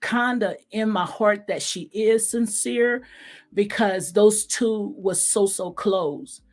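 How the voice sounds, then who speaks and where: A woman with a slightly hoarse voice, giving casual, rambling commentary as the host of a recap show in a conversational, heartfelt, repetitive way. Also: mid-range voice, slow pace.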